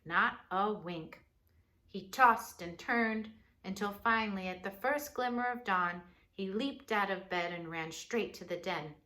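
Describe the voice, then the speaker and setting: A woman reading a children's storybook aloud expressively, with dramatic pauses and chatty asides to her listeners. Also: medium pitch, bright, forceful tone.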